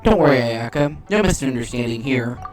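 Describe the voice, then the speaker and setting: A man's voice: medium pitch, lively intonation, brisk. A man in casual gamer mode reads dialogue options aloud and comments on the game. Faint music plays behind his voice. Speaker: gamer reading the player's dialogue choices aloud.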